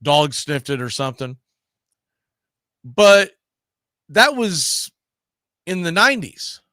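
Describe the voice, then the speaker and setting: A man's voice: a little high, big pitch swings, slow pace, pushed and bright, no echo, studio quality. A man hosting a casual sports talk show, arguing emphatically and posing rhetorical questions.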